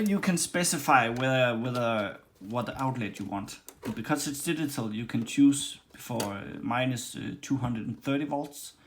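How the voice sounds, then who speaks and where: Halting Danish-accented man in a workshop. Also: medium pitch, vocal fry. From Denmark. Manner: halting, many ums and restarts. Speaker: hobbyist reviewing a leather skiving machine. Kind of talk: casual workshop demo. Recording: faint background noise and clicks.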